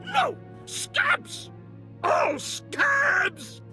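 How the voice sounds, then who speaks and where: A deranged male commander from a sci-fi video game. His voice is extremely high and harshly bright, swinging widely as he rants like a bombastic tyrant, with music underneath. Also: wildly swooping pitch, extremely slow, exaggerated voice. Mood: frantic, defiant. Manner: bombastic, hysterical ranting.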